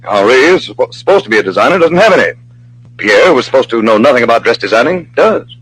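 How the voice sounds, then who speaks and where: A confident sleuth from an old radio mystery. His voice is a little rough and swings expressively as he lays out each deduction methodically, pausing for dramatic effect on an old broadcast recording. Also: mid-range voice, big pitch swings, fairly bright tone, poor audio. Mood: confident, explaining. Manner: methodical, dramatic, builds to reveals. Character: sharp, self-assured male magician-detective explaining his deductions.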